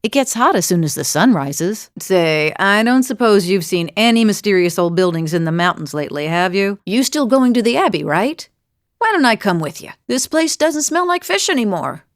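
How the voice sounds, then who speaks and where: Wry teasing fighter woman from a fantasy game, really animated intonation, dry studio sound. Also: medium pitch, normal speed, dry recording. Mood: wry, friendly. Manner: wry, teasing, conversational delivery. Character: bold, teasing, haunted female wandering gift bearer and fighter. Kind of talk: fantasy video game character dialogue.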